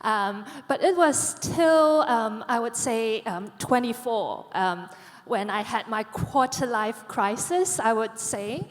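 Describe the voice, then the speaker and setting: A woman's voice: fairly high pitch, animated, takes it slowly. Earnest woman in a reverberant hall. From Hong Kong. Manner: earnest, many um fillers. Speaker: panelist. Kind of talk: panel talk, personal story. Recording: long reverb of a conference hall.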